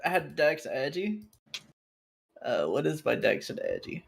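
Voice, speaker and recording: A man's voice: a little high, sing-song, unhurried, creaky, slightly rough. A man playing a tabletop roleplaying game, reacting in casual banter with the other players.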